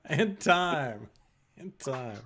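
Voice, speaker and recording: A man hosting an improv show over a video call, lively and quick with asides and frequent laughs. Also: on the high side.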